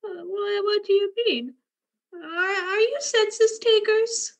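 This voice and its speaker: High, flustered old woman, played by an actress. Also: takes it slowly, heavily acted. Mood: flustered, indignant. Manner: hesitant, stammering, indignant. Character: flustered, suspicious old housewife shooing strangers away. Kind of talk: radio play acting.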